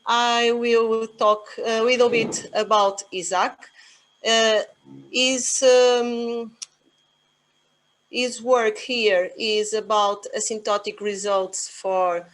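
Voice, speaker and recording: A woman's voice: on the high side, a bit bright. A woman with a Portuguese accent chairing an online conference session, often hesitating with fillers. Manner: hesitant, many fillers, switches between Portuguese and English. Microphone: video call.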